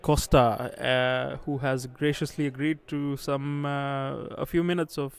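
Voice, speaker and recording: A man's voice: mid-range voice, little pitch movement, a little slow, gravelly, clean tone. A man interviewing a conference speaker, hesitant with many fillers.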